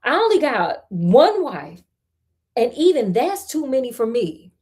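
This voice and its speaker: A woman's voice: a little high, very animated, unhurried, a little mellow. A woman with an American accent voicing a foolish, stubborn male peasant in a storybook, blustering and indignant as he complains. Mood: grumbling.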